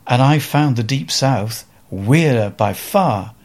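An older Welsh man speaks slowly and reflectively, often pausing with fillers as he searches for the right word. His voice is mid-pitched and plain, and he sounds like a seasoned photographer recounting his own memories. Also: expressive, a little mellow. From Wales. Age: around 70. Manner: thoughtful, halting, with many fillers and restarts. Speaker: photographer guest. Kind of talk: reflective interview storytelling.

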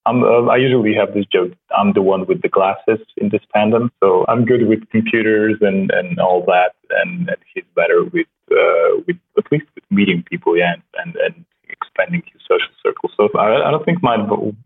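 Deep husky Slovenian man on a tinny line. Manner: soft-spoken, halting with restarts. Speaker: startup co-founder and CTO. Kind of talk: casual conversation.

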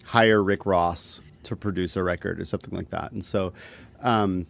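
A man chatting casually as a musician being interviewed, talking in a laid-back, self-deprecating way with halting phrasing. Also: low-pitched, steady tone, vocal fry, a bit bright. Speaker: musician guest.

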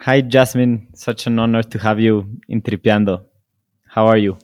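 A man hosting a podcast, a native Spanish speaker asking questions in English slowly and hesitantly, full of admiration for his guest. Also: on the low side, steady tone, darkish tone, faint music behind. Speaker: podcast host. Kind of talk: podcast interview.

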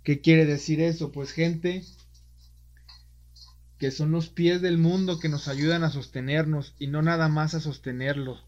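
A man's voice: on the high side, fairly even intonation, measured pace. A man hosting a podcast interview, asking questions in a friendly, conversational way. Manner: friendly, conversational, wraps up warmly. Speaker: podcast host. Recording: dull lo-fi audio.

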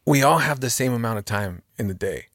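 A man's voice: medium pitch, lively intonation, quick pace, a bit bright, no echo, studio quality. A man in his thirties giving earnest, motivational advice in a casual podcast conversation. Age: 30s.

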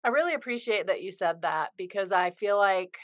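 A woman's voice: mid-range voice, expressive, brisk, no room sound, studio quality. A woman hosting a podcast, asking questions in an engaged, empathetic way. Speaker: podcast host. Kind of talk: conversational interview.

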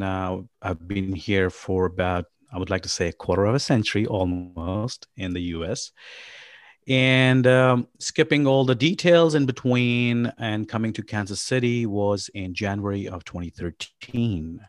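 Lowish voiced guy from pakistan, startup founder rambling on a podcast, lots of ums, dry close mic. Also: moderate pace, dry recording. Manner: rambling, many ums and uhs, friendly. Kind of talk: casual interview chat.

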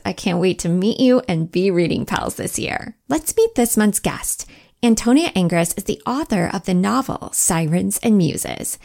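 A middle-aged woman hosts a book podcast from her home. Her voice has a gravelly, fry-tinged texture and a middle pitch. She talks in an enthusiastic, gushing way. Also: a little mellow. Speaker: podcast host. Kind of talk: scripted podcast intro, then casual interview chat. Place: home, Midwest US.

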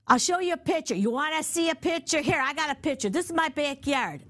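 Utica woman with an upstate New York accent, animated storyteller who mimics other people. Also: sits high, brisk. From East Utica, upstate New York. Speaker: guest, mother of the host. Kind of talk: casual chat, storytelling.

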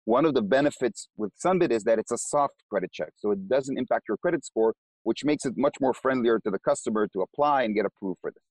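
A man's voice: mid-range voice, lively intonation, quick pace, hardly any pauses, darkish tone, slightly rough, no room sound, rough recording. A man with an Israeli accent, a company co-founder, explaining his business in an interview and often repeating words as he starts sentences.

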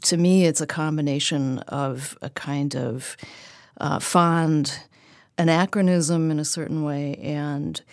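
A woman's voice: deep voice, gravelly, no room sound, studio quality. A woman discussing literature in a reflective, articulate way, feeling out her phrases as she goes. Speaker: poetry magazine editor, podcast panelist.

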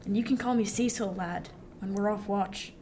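A woman's voice: medium pitch, moderate pace, warm tone, a little hiss or hum, natural voice. A woman playing a seasoned male merchant sailor in a radio drama, wry and confiding, with old-fashioned nautical speech. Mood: frank, reassuring. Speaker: actor.

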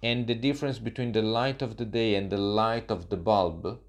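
A man interviewing someone, asking questions in a hesitant, halting way with many fillers. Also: on the low side, fairly even intonation.

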